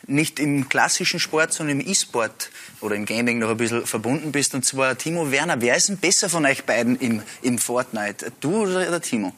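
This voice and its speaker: A man's voice: mid-range voice, a bit bright. A man from Upper Austria, interviewing a footballer in a chatty, joking way. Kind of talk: casual interview questions.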